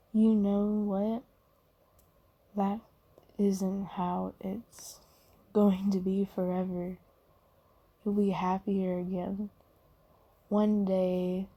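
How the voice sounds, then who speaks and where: Kindly female demon played by a woman for ASMR comfort roleplay: very dark, soft tone, slow, hesitant, reassuring. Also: mid-range voice. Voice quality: soft, breathy, half-whispered. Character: kindly apologetic female sleep paralysis demon, gentle comforter.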